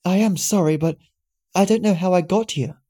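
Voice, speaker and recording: A man's voice: high-pitched, normal speed, mellow, voice pushed up. A man doing a nervous, confused young woman.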